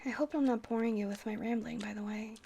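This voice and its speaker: A woman's voice: on the high side, steady tone, fast, creaky, slight background noise. A woman playing a shy, friendly vampire who rambles hesitantly and flirts sweetly in an ASMR roleplay. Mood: playful, teasing. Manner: hesitant, rambling, soft-hearted, awkwardly stammering.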